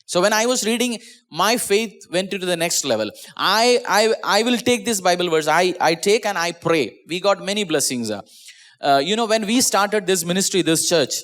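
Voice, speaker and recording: Higher-pitched Indian English pastor preaching. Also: male voice, fairly bright tone. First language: Malayalam. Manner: earnest, repeats and restarts words, drops pitch at phrase ends. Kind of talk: online sermon. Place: small church.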